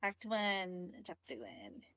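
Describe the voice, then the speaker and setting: A woman's voice: on the low side, very animated, takes it slowly, pushed and bright, highs cut off. A woman streamer reacting casually while she plays a game live.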